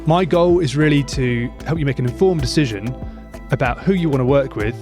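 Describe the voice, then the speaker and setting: A man's voice: mid-range voice, animated, fairly quick, over music. A middle-aged man hosting an interview podcast, talking in a friendly, loose way with many fillers. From England. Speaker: podcast host, mortgage broker.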